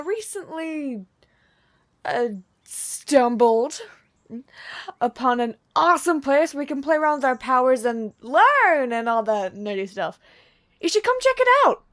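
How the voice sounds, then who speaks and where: Kiz, a woman playing a brash young prankster. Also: exaggerated voice. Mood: excited. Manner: loud, excitable and slangy, with sudden jumps in pitch. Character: brash young female prankster muse, cheeky and chaotic. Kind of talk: fantasy audio roleplay acting.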